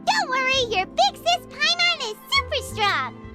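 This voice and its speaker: A woman voicing a tiny, excitable female fairy companion in a video game, bubbly and boastful, with music behind her. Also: a little slow, exaggerated voice. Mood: eager. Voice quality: squeaky, high and bubbly. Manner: bouncy, eager and boastful.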